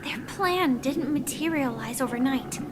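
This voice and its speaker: A woman playing a gentle, resolute young woman in a video game, speaking softly and solemnly, with a breathy edge at times. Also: high voice, expressive, a little slow, background noise. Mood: solemn, measured. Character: Firefly, gentle resolute young woman facing her own death. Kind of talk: dramatic video game voice acting. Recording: music under the voice.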